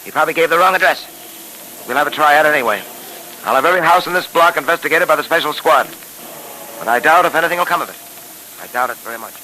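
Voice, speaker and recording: An actor in a 1930s radio serial playing a confident police detective hero with clipped, authoritative delivery. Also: male voice, on the high side, fast talker, pauses often, pushed and bright, rough recording. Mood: grimly shrewd. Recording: hissy old 1930s radio recording.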